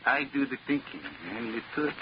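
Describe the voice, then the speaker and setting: An actor in a 1940s radio drama playing a dim, cocky small-time hoodlum who talks in bad-grammar street slang. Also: male voice, medium pitch, steady tone, a little fast, lightly acted. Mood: self-important. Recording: muffled, noisy 1940s radio recording.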